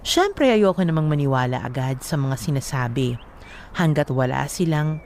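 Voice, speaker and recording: A woman's voice: low-pitched, animated, moderate pace, a little mellow, a little hiss or hum. A woman giving a sorrowful, confessional first-person narration for a radio love story. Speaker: letter narrator. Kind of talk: scripted dramatic narration.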